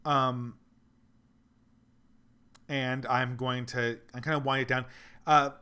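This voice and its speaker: A man whose voice is going hoarse talks through a livestream in an ordinary middle register. He is earnest and meandering, and he works his thoughts out aloud as he answers viewers. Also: mid-range voice, little pitch movement, takes it slowly, sharp tone. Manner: rambling, earnest, thinks aloud. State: losing his voice. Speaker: livestream host reading donations. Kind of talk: casual livestream Q&A.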